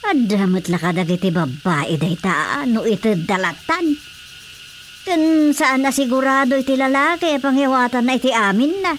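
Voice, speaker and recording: Older village wife, faint background noise. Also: female voice, high voice, lively intonation, normal speed. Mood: concerned. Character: older village wife gossiping with her husband. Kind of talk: radio drama dialogue.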